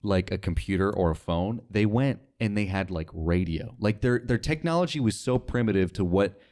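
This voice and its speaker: A man's voice: fairly low pitch, animated, normal speed, a little mellow. A young man talking casually as an interview guest, informal and rambling, often saying "like". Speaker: podcast guest, radio sales associate.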